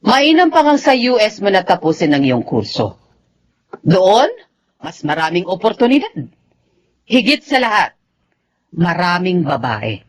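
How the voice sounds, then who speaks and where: A woman's voice: on the low side, sing-song, unhurried, long pauses. An actress playing a controlling, snobbish mother in a Filipino radio drama, speaking imperiously. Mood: bossy. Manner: imperious, commanding. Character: domineering, snobbish, well-off mother of a grown son.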